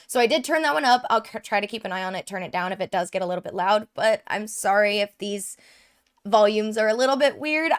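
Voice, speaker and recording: A woman with a congested, stuffy voice from a cold, giving rambling, casual commentary while playing a video game. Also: medium pitch. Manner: chatty, rambling, lots of fillers. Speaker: let's play streamer.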